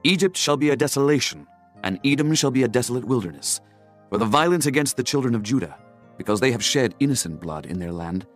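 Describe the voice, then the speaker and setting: A man narrating a dramatized scripture audiobook in a solemn, declamatory, measured manner. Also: medium pitch, lively intonation, faint music behind.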